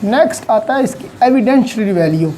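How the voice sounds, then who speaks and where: A man's voice: high-pitched, expressive, a little fast, dark tone, a little hiss or hum, poor audio. A man giving an online law lecture, patiently explaining step by step with examples and English legal terms. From Pakistan.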